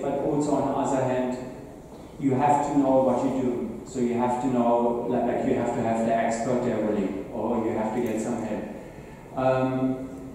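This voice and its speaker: A man's voice: mid-range voice, warm tone. Echoey, distant German-accented man presenting. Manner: halting, hesitant phrasing with repeated words. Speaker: conference speaker. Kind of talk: informal presentation on cybersecurity. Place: indoor meetup presentation room with seated audience. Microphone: distant camera at back of room. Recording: roomy echoing hall, distant mic, faint noise.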